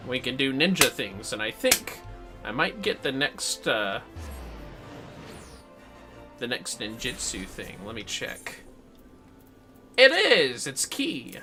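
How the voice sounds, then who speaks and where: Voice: mid-range voice, sing-song, slow pace, pauses often, over loud music. A gamer giving casual livestream commentary in a playful, reactive, sweary way. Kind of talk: casual game-stream commentary.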